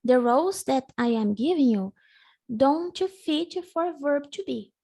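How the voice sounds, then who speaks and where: High-voiced woman teaching English slowly. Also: expressive, creaky, mellow, husky. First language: Portuguese. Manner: patient, slow drilling, repeats words for the student. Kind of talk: live online English lesson.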